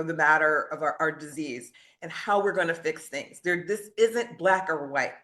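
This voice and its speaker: A woman's voice: fairly low pitch, a bit bright. A woman talking as a podcast guest, conversational, stressing and rephrasing her points. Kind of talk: conversational discussion.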